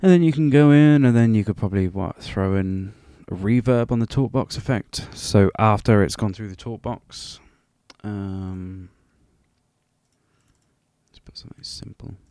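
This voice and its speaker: A man's voice: on the low side, measured pace, dark tone, smooth, dry recording. A man presenting a casual, unscripted music production tutorial, rambling hesitantly and a little self-deprecating.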